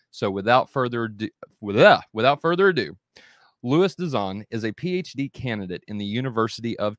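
A man in his thirties hosts a debate from his home room over a video call. His voice sits a little low and sounds dry and close. He talks in an upbeat, folksy way. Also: fairly bright tone, no room sound. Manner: upbeat, folksy, says y'all. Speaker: debate host and moderator. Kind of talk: casual show hosting. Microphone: headset and desk mic on video call.

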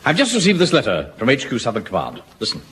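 An actor playing a pompous, self-important middle-aged platoon captain in a radio sitcom. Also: male voice, medium pitch, huge pitch swings, fast talker, sharp tone, a little hiss or hum. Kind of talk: 1970s radio sitcom acting.

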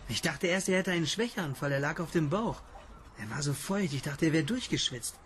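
A man's voice: a little high, lively intonation, fairly quick, fairly bright tone, slight background noise. A young man playing a curious, stubborn genetics intern in a radio drama, speaking plainly and casually. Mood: fascinated, defensive. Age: young adult. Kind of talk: naturalistic German radio play acting.

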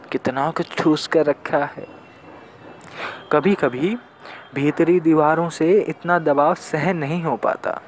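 Slow, deliberate young man reciting poetry. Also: mid-range voice, darkish tone, a little hiss or hum. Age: young adult. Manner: deliberate, dramatic pauses and repeated phrases. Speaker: student poet. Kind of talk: spoken-word poetry recitation.

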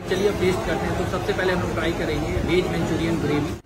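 A man's voice: a little high, fairly even intonation, quick pace. A young man giving casual food vlog commentary in a busy restaurant. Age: young adult. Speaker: food vlogger. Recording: noisy, echoey, crowded restaurant. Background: loud crowd chatter and ambient noise.

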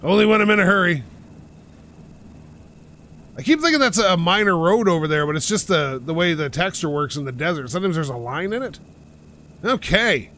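A man hosting a driving game playthrough, pushing his voice out loud and energetic as he rambles and pokes fun at himself from his couch. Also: high-pitched, a little fast, pauses often. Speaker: gaming channel host. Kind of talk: casual let's-play commentary. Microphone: home microphone while playing on a couch.

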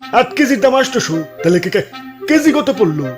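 Guy doing a whiny skeptical customer in a cartoon, high and all over the place, stammers, music behind. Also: very animated, a little fast. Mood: puzzled. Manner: stammering, complaining. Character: skeptical, grumbling male customer haggling over prices. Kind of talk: comic cartoon dialogue.

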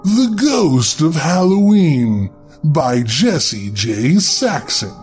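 A man's voice: fairly high pitch, very animated, very slow, darkish tone. Man, dramatic horror narration, very varied intonation, music behind. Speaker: storyteller, podcast narrator. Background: background music.

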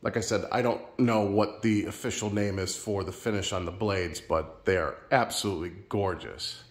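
A man filming a casual home vlog in his sunroom, a little nasal and stuffed up from a sinus infection, talking in a relaxed, rambling, friendly way. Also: on the low side, normal speed. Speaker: ceiling fan collector vlogger. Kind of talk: casual show-and-tell vlog.

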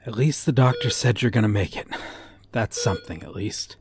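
A man playing a devoted, guilt-ridden detective in a dramatic audio roleplay, speaking in an earnest, brooding, halting way. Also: lowish voice, close to an everyday voice. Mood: worried, guilty. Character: guilt-ridden, devoted male detective hunting a serial killer.

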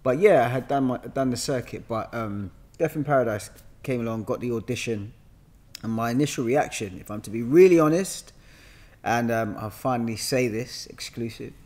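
A man's voice: mid-range voice, moderate pace, a little mellow. London man telling his story casually. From London, England. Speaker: guest actor. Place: bright indoor room with sofa. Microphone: podcast microphone on boom arm.

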